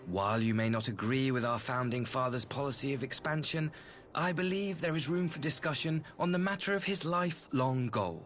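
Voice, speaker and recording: An actor playing a courtly, flattering imperial envoy in a video game, speaking in formal, ornate, diplomatic phrases. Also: male voice, mid-range voice, normal speed, natural voice. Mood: measured, persuasive. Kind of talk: scripted video game voice acting. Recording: music behind the voice.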